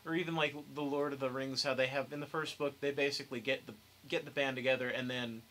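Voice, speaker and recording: A man's voice: medium pitch, fairly even intonation, fast talker, bright, forceful tone. A young man hosting a casual podcast discussion, with a wry, joking way of talking. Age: young adult. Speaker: podcast host.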